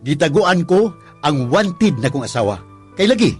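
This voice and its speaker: An actor with a Visayan Filipino accent playing a worried, devoted husband in a radio drama, sighing and pleading. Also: male voice, a little high, very animated, normal speed, soft background music. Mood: confiding, sorrowful. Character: worried, long-suffering, devoted husband narrating his story.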